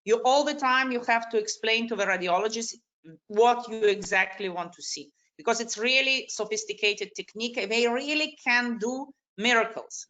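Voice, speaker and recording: A woman with a foreign accent, not a native English speaker, giving spontaneous expert answers haltingly and repeating words for emphasis. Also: medium pitch, fairly bright tone. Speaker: surgeon on a discussion panel. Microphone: online video call.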